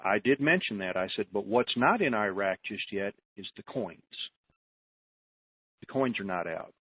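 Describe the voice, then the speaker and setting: A man's voice: on the low side, animated, moderate pace, a little hiss or hum. American man hosting on a tinny phone line. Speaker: host of a conference call. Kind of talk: informal talk to callers on an investment conference call. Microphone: telephone.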